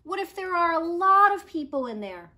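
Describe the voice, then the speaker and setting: Storybook young boy, doubtful and insistent, high swooping voice , played by a woman. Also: very animated, moderate pace, sharp tone, full character voice. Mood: worried. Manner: worried, insistent questioning.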